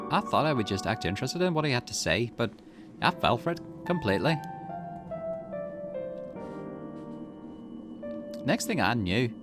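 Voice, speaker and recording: A man's voice: mid-range voice, lightly acted. A man voicing a tough, grieving young woman in visual novel game dialogue, with an indignant, dramatic delivery. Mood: bitter realization.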